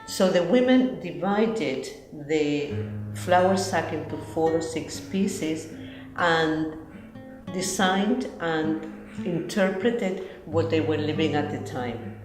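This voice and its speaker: Woman, Chilean accent, low voice, native Spanish speaker presenting in careful explanatory English over guitar music. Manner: deliberate, explanatory, non-native English phrasing. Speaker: textile collection curator and interviewee. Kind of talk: explanatory interview talk, presenting textiles. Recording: roomy echo, guitar music behind.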